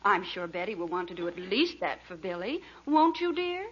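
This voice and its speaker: A woman's voice: medium pitch, sing-song, normal speed, lightly acted. An actress playing a sensible middle-aged wife and mother, dry and composed, in a 1940s radio comedy. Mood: wry, composed. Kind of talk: 1940s radio sitcom acting. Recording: dull, noisy old radio recording.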